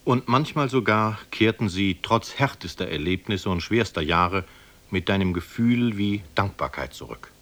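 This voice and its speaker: A man delivers scripted narration for a documentary. His low voice is measured and literary in its phrasing. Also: lively intonation, a bit bright.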